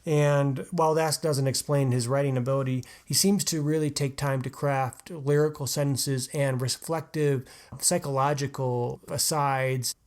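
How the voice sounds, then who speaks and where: A man's voice: mid-range voice, steady tone, measured pace. A man co-hosting a podcast, talking about a novel in a casual, earnest and analytical way. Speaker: podcast co-host. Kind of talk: casual book discussion.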